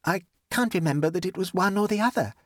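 A man's voice: sits high, lively intonation, fast talker, dry recording, clean studio sound, pitched above the performer's own voice. A man voicing a touchy, self-pitying young society woman in a storybook, with a plaintive, genteel and defensive manner. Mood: earnest, defensive. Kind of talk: audiobook character dialogue.

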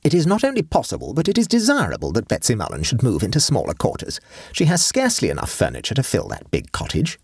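A man's voice: mid-range voice, huge pitch swings, quick pace, slightly rough, dry recording, studio quality, voice pushed up, heavily acted. A man reading an audiobook and voicing a severe, pompous middle-aged aunt who lectures sternly.

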